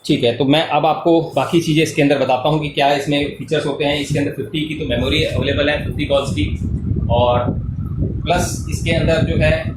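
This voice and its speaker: A man's voice: a little high, steady tone, fairly quick. A man with an Indian accent giving a step-by-step explanatory product tutorial, repeating key points as he goes. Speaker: product demonstrator. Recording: echoey room with clear background noise.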